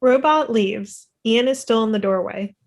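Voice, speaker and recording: A woman's voice: a little high, measured pace. Middle-aged woman reading screen directions. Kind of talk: plain scripted narration. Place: indoor room at home, video call. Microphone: computer mic on video call.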